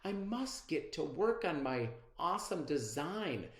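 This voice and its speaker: A man's voice: on the high side, big pitch swings, unhurried, reverberant, voice pushed up. A man voicing a snobbish, smug girl inventor in a storybook, with a haughty, mock-sweet manner.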